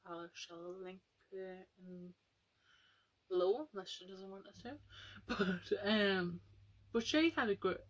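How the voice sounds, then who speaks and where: A young woman with a nasal, breathy voice, chatting casually and rambling at home while getting ready for bed. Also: rough recording. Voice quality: nasal, breathy, high-pitched voice. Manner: chatty, rambling, with many fillers. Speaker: vlogger. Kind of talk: casual chat to camera.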